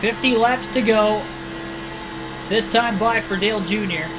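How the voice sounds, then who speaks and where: A man's voice: high-pitched, unhurried, noisy background. Man calling a racing game livestream. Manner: steady race-caller delivery, hedging guesses. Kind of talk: play-by-play commentary. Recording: video game music behind. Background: game music.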